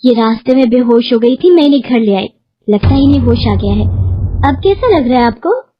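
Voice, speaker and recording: Woman as young village girl, caring, respectful, high pitch, storybook dialogue, dull recording. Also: animated, normal speed, soft background music, close to an everyday voice. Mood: concerned. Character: kind, helpful young village henna artist woman.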